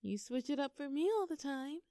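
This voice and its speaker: Casting: young woman acting as a doting, teasing girlfriend for intimate audio roleplay; mellow tone, very dry close sound. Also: high voice, animated, brisk, no echo. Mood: affectionate, insistent. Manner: playful, coaxing, talking tenderly to a partner.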